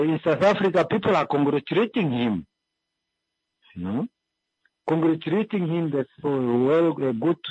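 A man comments as a caller, in a fairly high voice for a man. He speaks slowly, halting often and staying polite, and the call sounds dull and lo-fi. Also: a little mellow, breathy edge, no echo. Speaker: caller to a radio discussion.

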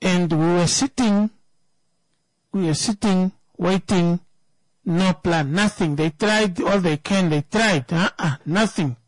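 A woman's voice: fairly low pitch, slow pace, dry recording, low-quality recording. A woman, giving an emphatic opinion talk that turns into a personal story, repeating words for stress.

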